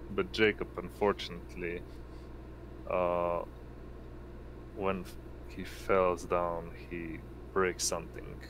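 A man running a tabletop game as game master, narrating in a halting way. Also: sits low. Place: indoor online voice session. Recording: noisy home recording, constant background hum.

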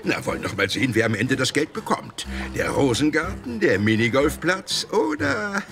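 An actor playing a scheming, gleeful robber chief in a children's audio drama, muttering slyly and gloatingly. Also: male voice, mid-range voice, very animated, gravelly, music in the background. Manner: gloating, drawn-out muttering with sly laughs.

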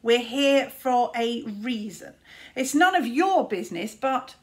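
A woman's voice: a little high, animated, slow, voice pushed up. A woman voicing a boastful, stubborn young boy wizard in a storybook, emphatic and proud. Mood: indignant.

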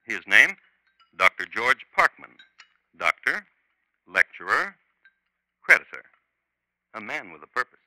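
A man hosting and narrating a 1950s radio true crime show in a deliberate, formal, dramatic storytelling manner. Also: on the low side, sing-song, pushed and bright, no room sound, rough recording. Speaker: host and narrator. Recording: vintage 1950s radio recording.

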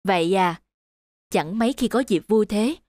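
Woman as tender hardworking mother, soft reassuring delivery, animated drama, prominent music. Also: mid-range voice, slow, natural voice. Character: tender, hardworking, self-sacrificing mother of a schoolboy. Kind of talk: animated fairy-tale dubbing.

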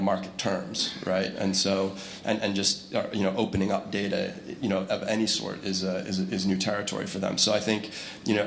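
A man speaking on a conference panel into a handheld mic, measured and full of fillers and restarts. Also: sits low, creaky, a little hiss or hum. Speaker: startup CEO panelist. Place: large conference room with audience. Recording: echoey hall, faint ambience.

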